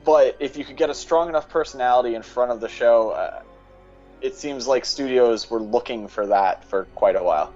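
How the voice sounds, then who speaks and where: A man's voice: medium pitch, moderate pace, warm tone, soft background music. A man speaking as a podcast guest in a casual, analytical discussion style. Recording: dull lo-fi mic sound.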